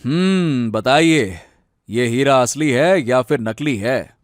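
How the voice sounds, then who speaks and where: Cartoon village patriarch, voiced by a man: middle-aged, gruff, threatening, medium pitch, always interrogating. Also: animated, slow, dry recording, clean studio sound. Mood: impatient. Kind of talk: Hindi cartoon moral-story acting.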